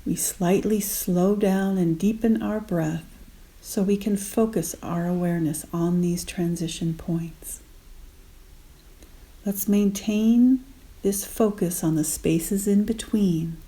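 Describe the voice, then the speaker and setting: A woman guiding a meditation gently and unhurriedly in short spaced phrases, with soft, breathy endings. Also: mid-range voice, slow pace. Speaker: meditation guide. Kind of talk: guided meditation.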